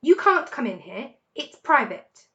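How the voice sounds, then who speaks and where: Woman acting a shrill snappy little storybook rat. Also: sing-song, a little slow, a bit bright, muffled recording, heavily acted. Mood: indignant, shrieking. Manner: shrieking, snapping retorts. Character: small sharp-faced whiskered male rat, snappy and territorial.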